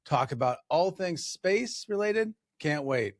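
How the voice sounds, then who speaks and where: A man's voice: a little high, expressive, slow, no echo, clean studio sound. A man hosting a podcast, welcoming listeners and asking a guest questions in an easygoing way. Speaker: podcast host. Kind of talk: casual chat.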